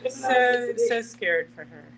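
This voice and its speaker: A woman's voice: fairly high pitch, lively intonation, slow. A woman speaking emphatically in short statements during a casual group discussion. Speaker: discussion participant. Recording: noisy room with hum.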